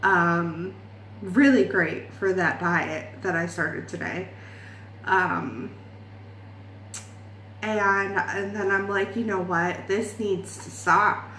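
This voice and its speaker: A young woman in her late twenties, from Ireland, talks through a makeup vlog in an unhurried, rambling way. She pokes fun at herself and drifts between topics. Her voice sits in an echoey room with faint ambience behind it. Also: on the low side, fairly even intonation, bright, forceful tone, a little hiss or hum. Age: 20s. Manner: rambling, self-deprecating, laughs often. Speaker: beauty vlogger.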